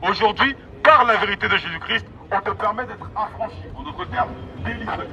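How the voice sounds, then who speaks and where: A high-voiced man delivers an emphatic street sermon through a megaphone. He exhorts in repeated phrases, and the sound is dull, with loud traffic and city noise around him. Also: a little fast, far from the mic. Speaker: street evangelist. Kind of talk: open-air megaphone sermon. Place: outdoors in an urban public street. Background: traffic and city ambience.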